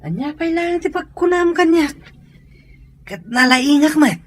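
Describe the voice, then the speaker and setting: A woman's voice: sits high, animated, measured pace. A woman playing a brash, carefree young male driver and boyfriend in an audio drama. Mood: pushy. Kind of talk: Ilocano audio drama acting.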